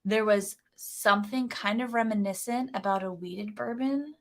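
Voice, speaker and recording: A woman's voice: mid-range voice, moderate pace. A woman co-hosting a casual whiskey livestream, talking in a candid, earnest and slightly self-conscious way.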